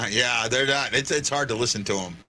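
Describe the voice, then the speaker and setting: A man hosting a live fan show, giving rambling, excitable and sarcastic commentary while watching a game. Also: medium pitch, measured pace, slight background noise.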